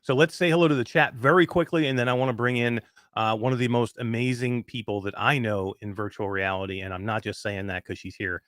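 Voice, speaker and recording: A man of fifty hosting a live show in casual chat, reading out greetings with excitement. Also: medium pitch, brisk, dry recording, clean studio sound. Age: 50s. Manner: excited, animated chat shout-outs. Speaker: host.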